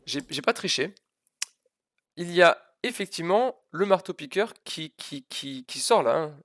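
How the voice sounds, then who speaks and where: A man's voice: a little high, lively intonation, a little slow, a bit bright, no room sound, studio quality. A man giving an informal live physics lesson, hesitant and self-deprecating, repeating and stressing key words as he explains. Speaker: physics teacher streaming live.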